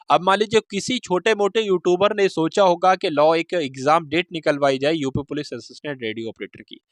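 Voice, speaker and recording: A man's voice: a little high, dry recording. A man hosting an exam preparation update, explaining informally to viewers, repeating and rephrasing his points and addressing them as friends. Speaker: host of exam preparation channel.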